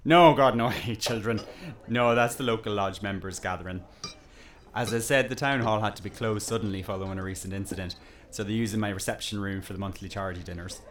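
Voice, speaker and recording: An actor playing a chatty, teasing village pub landlord in an audio drama, with a folksy, jokey and politely nosy manner. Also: male voice, fairly low pitch, quick pace, slight background noise, close to an everyday voice. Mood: wry, confiding.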